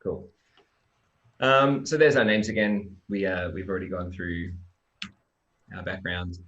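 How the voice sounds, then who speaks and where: Low-voiced man presenting casually over a lo-fi stream. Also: a little slow. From Australia. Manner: relaxed, chatty, with frequent ums and restarts. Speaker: software developer presenting.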